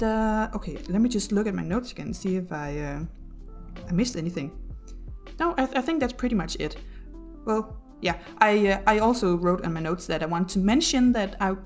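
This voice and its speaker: A Danish woman with a Danish accent, talking through her build in a casual voice-over at home, halting with many ums and self-deprecating laughs. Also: mid-range voice. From Denmark. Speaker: YouTuber narrating a build video.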